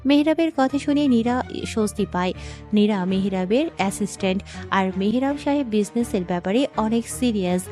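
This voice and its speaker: A woman's voice: mid-range voice, lively intonation, moderate pace, warm tone. Woman narrating a story over music.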